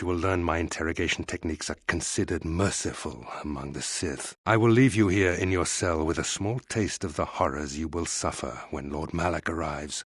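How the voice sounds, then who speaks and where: An actor playing a cold, sadistic admiral interrogator in a video game, speaking with formal, deliberate menace. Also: male voice, low voice, a bit bright, breathy edge, no echo, studio quality. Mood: cruel contempt. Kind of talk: dramatic video game villain acting.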